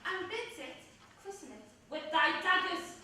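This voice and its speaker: An actress playing an anxious nurse in a stage reading of verse drama, urgent and pleading. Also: female voice, extremely high pitch, big pitch swings, unhurried, pushed and bright, slight background noise, exaggerated voice. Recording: echoey room, mic far off.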